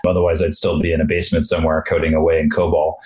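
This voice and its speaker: Male guest, very low and even voice, loose storytelling full of ums, recorded at home. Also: very fast. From Europe. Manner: rambling, relaxed storytelling with fillers. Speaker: guest, venture fund founder. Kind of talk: conversational podcast interview. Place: home office.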